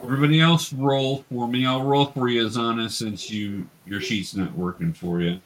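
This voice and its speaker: A man's voice: mid-range voice, steady tone, normal speed, rough recording. Man patiently running a tabletop game. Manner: patient step-by-step explaining. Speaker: game master teaching young players. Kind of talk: running a tabletop roleplaying game, casual instruction.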